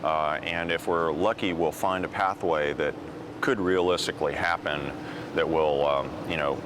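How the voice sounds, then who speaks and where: A man explaining an experiment at an outdoor testing site, in a calm, dry manner. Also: extremely low pitch, normal speed. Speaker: co-host. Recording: outdoor noise behind voice.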